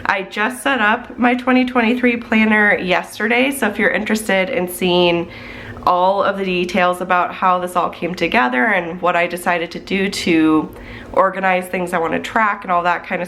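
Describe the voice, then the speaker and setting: A woman's voice: on the low side, steady tone, normal speed, fairly bright tone, slight background noise. A woman with a stuffed-up, congested voice, chatting casually as she plans on her tablet and thinks aloud while she works. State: congested from the flu. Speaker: planning channel host.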